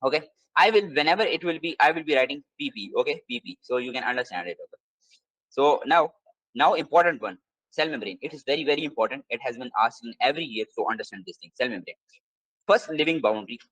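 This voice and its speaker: A man's voice: mid-range voice, expressive, quick pace, fairly bright tone, slightly rough, dry recording, rough recording. A man giving a live online biology lecture from his desk. He drills each point by repeating it, and his English phrasing is slightly non-native. His computer mic sounds dry and close, with no room sound at all. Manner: repetitive, drilling key points. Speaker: exam prep tutor.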